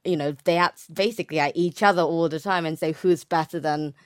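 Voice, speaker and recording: Young female academic guest, smooth clear voice, self-correcting conversational explainer, very dry sound. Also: low voice, steady tone, a little fast, no echo. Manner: hesitant phrasing, frequent hedging and self-correction. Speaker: interview guest, naval history researcher.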